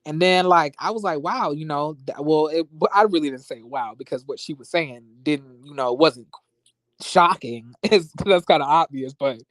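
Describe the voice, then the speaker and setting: A man with an American accent chatting casually as a livestream host, in a sassy, expressive, slangy conversational way. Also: a little high, normal speed, no room sound. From United States, born in rural Florida and raised in Las Vegas. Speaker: YouTube reviewer and livestream host. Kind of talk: casual livestream chat with viewers.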